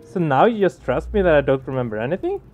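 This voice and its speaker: Higher-pitched man doing game commentary. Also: big pitch swings, fast talker, darkish tone. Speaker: let's play streamer. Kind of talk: casual gaming commentary, reading game text aloud. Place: small room indoors. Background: game music.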